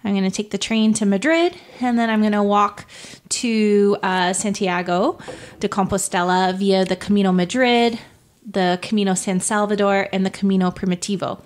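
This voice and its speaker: A woman's voice: medium pitch, steady tone, normal speed. A woman talking casually as a podcast guest about her long hikes, chatty and full of "like". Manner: chatty, says "like" often. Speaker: returning guest, long-distance hiker. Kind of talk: casual storytelling chat.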